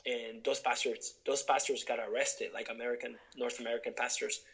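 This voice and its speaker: A man's voice: medium pitch, little pitch movement, a little fast, fairly bright tone. Earnest young man from Honduras, guest in a reflective talk, thinks aloud with restarts. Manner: earnest, searching, many restarts and fillers. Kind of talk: reflective interview conversation.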